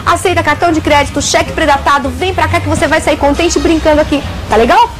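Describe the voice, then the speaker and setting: Very high-pitched São saleswoman. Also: steady tone, fast, over music. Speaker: TV shopping presenter. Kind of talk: chatty promotional sales pitch. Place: video game shop, indoors.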